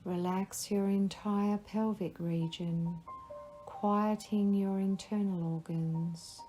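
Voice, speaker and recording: Female meditation guide, very slow, mellow tone, soft music under. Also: mid-range voice, steady tone. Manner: slow, soothing, instructive. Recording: faint music behind the voice.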